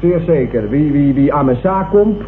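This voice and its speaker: An actor playing a cheeky, argumentative young nephew and would-be revolutionary in a 1970s Dutch radio comedy. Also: male voice, fairly high pitch, lively intonation, normal speed, natural voice. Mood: defiant. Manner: argumentative, defiant. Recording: tinny, slightly noisy old radio recording.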